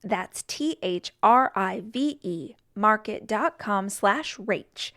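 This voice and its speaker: Female host, upbeat ad read, spells the web address slowly. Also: medium pitch, animated, a bit bright. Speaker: host reading an ad. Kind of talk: read sponsor ad.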